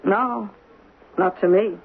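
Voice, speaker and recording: A woman's voice: mid-range voice, slow, creaky, slightly rough, full character voice. An actress playing a plain-spoken, shrewd working-class woman in an old-time radio drama, speaking in a folksy, ruminative, hesitant way. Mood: skeptical. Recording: dull old radio recording.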